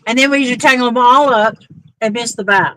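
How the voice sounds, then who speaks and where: A woman chatting casually on a pontoon boat while fishing, easygoing and quick to laugh. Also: a little high, sharp tone, poor audio. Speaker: fishing streamer. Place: outdoors on a pontoon boat on a lake.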